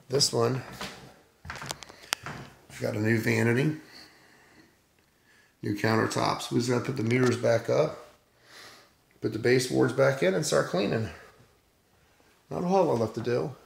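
A man's voice: medium pitch, measured pace, creaky, pauses often, slight background noise. A middle-aged man, a renovation contractor, casually walking through a house with a handheld phone and talking about the work. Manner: casual, plain-spoken, upbeat. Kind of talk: walkthrough vlog. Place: house under renovation, indoors. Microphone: phone camera, handheld while walking. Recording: echoey empty rooms.

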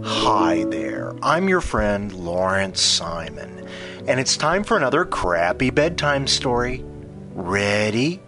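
A man playing a befuddled children's bedtime storyteller in a halting, mock storybook style. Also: lowish voice, big pitch swings, music in the background. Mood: slow, storytelling.

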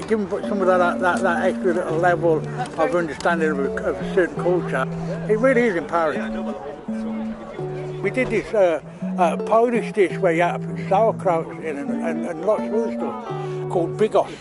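A man who runs a community cooking project, speaking informally and haltingly, often repeating words. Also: a little high. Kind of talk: informal interview. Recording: loud music behind the voice. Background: music.

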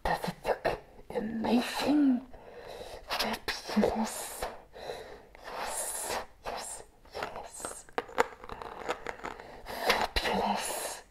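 A young man playing a crazy, eager circus clown in a whispered ASMR roleplay, breathy and obsessively repeating words. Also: very high-pitched, steady tone, very slow, long pauses, noisy background, heavily acted. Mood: manic, preening.

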